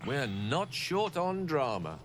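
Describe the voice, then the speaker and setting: A man's voice: a little high, big pitch swings, slow. An actor playing a good-humoured, level-headed male adventurer in a video game, with a wry, genial way of talking. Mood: wry, relieved. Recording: clear background ambience noise.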